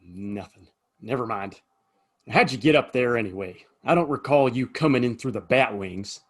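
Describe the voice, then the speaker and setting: A man's voice: medium pitch, expressive, moderate pace, natural voice. A man acting a plain-spoken, weary saloon keeper in a western audio play, folksy and straight-talking. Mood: fed up.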